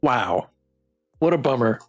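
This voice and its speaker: A man hosting a casual game livestream, reading text aloud and chatting with playful, expressive reactions. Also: mid-range voice, slow, soft background music. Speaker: game streamer and host.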